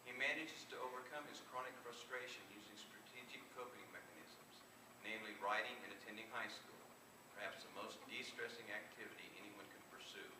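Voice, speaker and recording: A man's voice: lowish voice, a little fast. A man who is an author giving a live reading of humorous prose, with small spoken asides. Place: indoor convention reading room. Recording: echoey room from far off, clear background noise.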